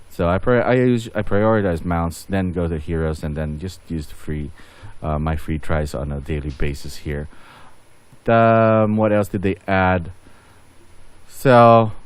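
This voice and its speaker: A man giving casual game update commentary as a channel host, rambling and thinking aloud as he goes. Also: low-pitched.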